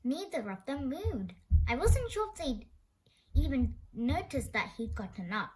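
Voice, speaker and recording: A woman's voice: high voice, sing-song, a little slow, a little mellow. A woman reading a children's story aloud expressively, now and then stumbling over a word. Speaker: storybook reader.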